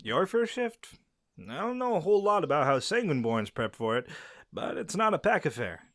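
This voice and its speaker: An actor playing a friendly young male werewolf who shows a silent listener around his pack's home, easygoing and quick to laugh. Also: fairly high pitch, sing-song, fairly bright tone, no echo. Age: young adult. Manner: easygoing, laughs often, answers unheard questions. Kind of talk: audio roleplay to a silent listener. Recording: dry close recording.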